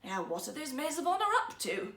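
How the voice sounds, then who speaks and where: A woman's voice: high voice, big pitch swings, fairly quick, pushed and bright, echoey room, exaggerated voice. A woman voicing a stern, indignant old emperor and father in a storybook, blustering and commanding. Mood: suspicious.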